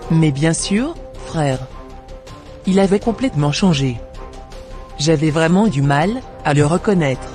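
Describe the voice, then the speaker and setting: Man voicing an earnest churchgoing woman, higher-pitched. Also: lively intonation, a little slow, long pauses, darkish tone. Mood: earnest, concerned. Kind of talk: amateur cartoon dialogue. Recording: music behind the voice.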